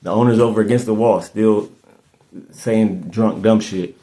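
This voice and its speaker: Man, thirties, somewhat low voice, casual podcast storytelling, rambling, stumbles and restarts. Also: fairly even intonation, a little slow, dark tone, rough recording. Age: 30s. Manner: rambling, repeats and restarts words often. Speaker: podcast host.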